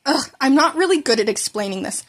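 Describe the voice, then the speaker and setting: Woman as grumpy, blunt teenage boy for audio story, high voice, exasperated. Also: lively intonation, a little fast. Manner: grumbling, blunt. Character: irritable, foul-mouthed, exasperated teenage boy.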